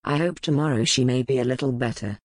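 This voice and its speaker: A woman voicing a gentle, grave duke who speaks in a calm, measured way. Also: extremely low pitch, no room sound, pitched below the performer's own voice. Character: gentle grave male duke, lord of the castle.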